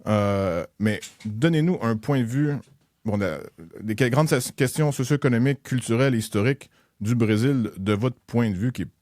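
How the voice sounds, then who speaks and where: A man's voice: medium pitch, normal speed, a little mellow, no room sound. A male podcast host, asking questions politely and formally with some hesitations. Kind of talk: interview questions.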